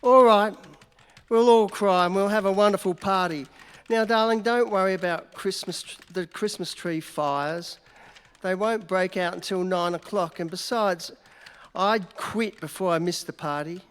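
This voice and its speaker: This is a cheerful young newspaper reporter, played live by a stage performer, teasing and fond as he chats on the phone. He talks slowly and easily. The voice rings out through a large concert hall with a long reverb. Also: mid-range voice, clear, resonant tone, close to an everyday voice. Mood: affectionate. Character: eager young male newspaper reporter, sentimental and joking. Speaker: amateur radio play actor. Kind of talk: live staged radio play reading.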